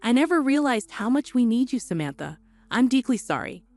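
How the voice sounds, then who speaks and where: A woman's voice: on the high side, big pitch swings, brisk, darkish tone, no echo. A woman voicing an older mother in an audio story, tender, relieved and apologetic. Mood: relieved, sorry.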